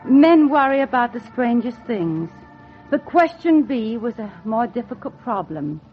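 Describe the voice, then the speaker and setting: A woman's voice: fairly high pitch, big pitch swings, a little slow. An actress playing a wry, lovelorn single woman, speaking in a dry, genteel, wistful way in a 1940s radio drama. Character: wry, lovelorn single woman on holiday, narrating her hopes. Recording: muffled 1940s radio recording with music.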